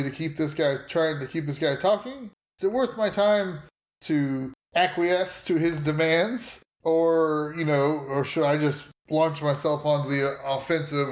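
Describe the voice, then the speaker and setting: A man with a fairly high voice chats as a player in a tabletop game, reasoning aloud and circling back over his phrases. The recording is noticeably roomy and echoey. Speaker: player in an actual play podcast. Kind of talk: casual tabletop role-play chat.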